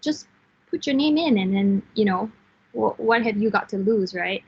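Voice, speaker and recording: A woman in her thirties from Singapore, a software team lead giving frank, encouraging career advice in an online class, with fillers and restarts. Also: medium pitch, lively intonation, moderate pace, husky, dull audio. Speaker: guest speaker, software engineer team lead.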